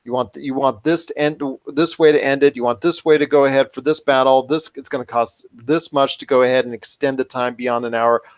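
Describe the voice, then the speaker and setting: Male podcast host, average pitch, talky and emphatic, piling up points in an unscripted discussion. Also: steady tone, a little fast, few pauses. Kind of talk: conversational commentary.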